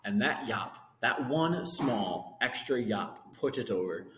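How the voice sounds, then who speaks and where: A man's voice: medium pitch, lively intonation, takes it slowly, noisy background. A man reading a children's storybook aloud, with casual asides about the pictures. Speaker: reader of a children's book. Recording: echoey room with long reverb.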